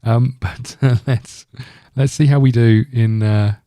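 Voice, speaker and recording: A man's voice: medium pitch, fairly even intonation, slow, warm tone, no room sound, clean studio sound. A man hosting a gaming video, giving casual let's-play commentary in a rambling, thinking-aloud way.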